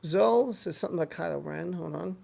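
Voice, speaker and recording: Very gravelly live stream host, unscripted chat. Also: medium pitch, a little fast, warm tone. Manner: rambling, repeats phrases for emphasis. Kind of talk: casual live stream chat, reading out viewer comments.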